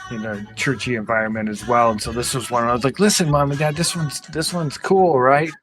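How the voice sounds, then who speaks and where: A male panelist chats casually about music. His voice is mid-pitched, and there is faint music playing behind it. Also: fairly even intonation, brisk, fairly bright tone. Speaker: podcast panelist.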